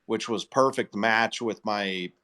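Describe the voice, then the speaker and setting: A man's voice: fairly low pitch, takes it slowly, pushed and bright. A man hosting a live group call, chatting informally and with enthusiasm. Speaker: host of live investor audio calls. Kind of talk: casual chat in a live group audio call.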